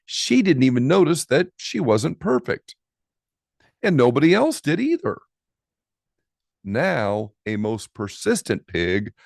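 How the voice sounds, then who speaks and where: A man narrates a picture book for children in an expressive, lively style. He takes it slowly with dramatic pauses, and his voice has a gravelly creak. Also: mid-range voice, dry recording, clean studio sound. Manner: dramatic pauses, drawn-out key words. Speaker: storybook reader.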